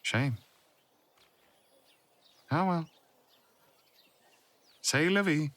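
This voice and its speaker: A man's voice: a little high, extremely slow, a bit bright, no echo, pitched above the performer's own voice. A man playing a haughty, teasing, flirtatious cousin with an arch, insinuating drawl, in a fantasy audio roleplay.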